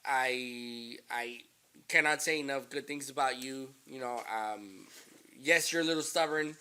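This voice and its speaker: A man hosting a casual interview, relaxed and encouraging, with lots of fillers. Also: mid-range voice, unhurried, clean tone. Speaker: podcast host.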